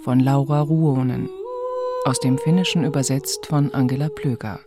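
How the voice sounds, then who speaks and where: A woman's voice: a bass voice, fairly even intonation, moderate pace, over loud music. A woman announcer reading the scripted credits of a radio play.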